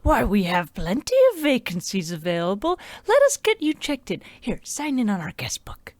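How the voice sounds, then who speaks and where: A woman's voice: medium pitch, sing-song, normal speed, a little mellow, lightly acted. A woman acting a chatty, folksy, melodramatic village innkeeper in an old-time radio horror comedy, with gushing, theatrical delivery. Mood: eager, welcoming. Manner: theatrical, gushing, swinging into ominous asides.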